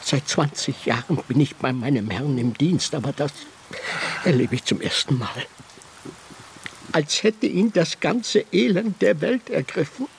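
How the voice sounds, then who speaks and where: Worried loyal butler in a radio play, bit high voice, takes his time, rain faintly behind. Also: lively intonation, measured pace, vocal fry, a little hiss or hum. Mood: troubled. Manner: deferential, anxious. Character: loyal, worried long-serving male butler, middle-aged or older. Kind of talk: radio drama dialogue.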